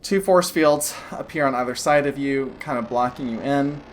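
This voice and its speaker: A woman running a tabletop game as game master, narrating in a loose, halting way full of "like" and "kinda". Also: very deep voice, a little hiss or hum.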